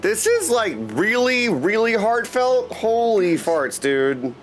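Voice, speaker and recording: High-voiced, very animated male game streamer. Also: slow pace, faint music behind. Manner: excitable, jokey, bursts of exclamation. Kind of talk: casual live game commentary.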